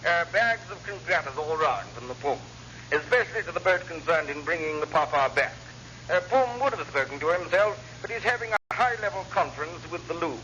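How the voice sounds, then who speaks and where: An actor playing a dithering, scatterbrained government secretary with a chirpy, plummy upper-class English voice, in a classic radio sitcom. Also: male voice, fairly high pitch, animated, a little fast, lightly acted. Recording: muffled, hissy old radio recording.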